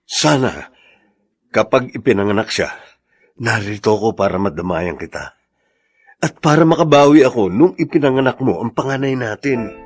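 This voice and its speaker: An actor with a Filipino accent playing a respectful, hopeful young soldier and devoted husband in a radio drama. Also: male voice, mid-range voice, animated, normal speed, pauses often, lightly acted. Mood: excited, hopeful. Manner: respectful, earnest.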